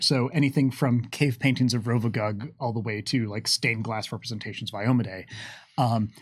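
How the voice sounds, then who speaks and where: A man hosting a livestream Q&A in an unscripted discussion, sometimes halting as he searches for words. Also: medium pitch, husky, no echo, studio quality. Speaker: host of a livestream Q&A.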